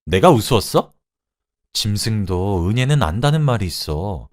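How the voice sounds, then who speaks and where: A man's voice: fairly low pitch, lively intonation, fairly quick, close to an everyday voice. Man voicing a furious betrayed middle-aged wife. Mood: bitter anger. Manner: sharp, accusing confrontation.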